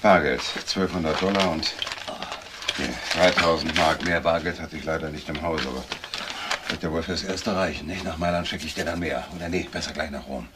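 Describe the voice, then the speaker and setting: A man's voice: extremely low pitch, brisk, heavy background noise. An actor playing a composed, practical, protective businessman brother in a German crime radio drama. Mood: brisk, practical.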